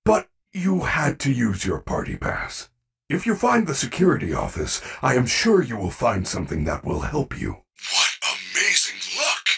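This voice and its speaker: A man's voice: medium pitch, huge pitch swings, normal speed, vocal fry, fairly bright tone, breathy edge, distant mic, heavily acted. A man voicing a friendly, caring animatronic bear in a video game, speaking in formal, precise, earnest sentences. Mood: cheerful, encouraging. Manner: formal, precise wording without contractions, earnest. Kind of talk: video game character voice acting.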